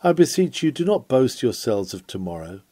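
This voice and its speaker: A man's voice: medium pitch, sing-song, moderate pace, dark tone, no echo. A man reading an old-fashioned sermon aloud in a solemn, earnest, oratorical manner. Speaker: reader of a historical sermon.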